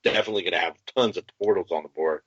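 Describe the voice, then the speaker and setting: Low male voice, dry and slightly dull. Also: racing, fairly bright tone, husky, dry recording. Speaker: podcast co-host. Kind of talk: casual tabletop game strategy talk.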